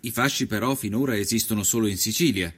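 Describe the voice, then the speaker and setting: A man playing an educated, probing journalist of the 1890s in a documentary drama, formal and measured as he interviews. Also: lowish voice, a bit bright, breathy edge. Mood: inquiring.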